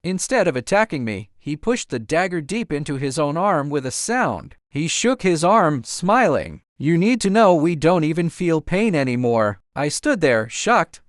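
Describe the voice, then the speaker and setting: A man's voice: on the high side, no echo, studio quality. A man reading a scripted first-person story as an audiobook narrator.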